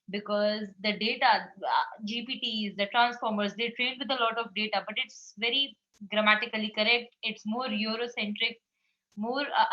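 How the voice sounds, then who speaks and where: Bright-voiced woman. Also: on the high side. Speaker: webinar attendee. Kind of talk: self-introduction in a webinar. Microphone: video call. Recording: muffled lo-fi call audio.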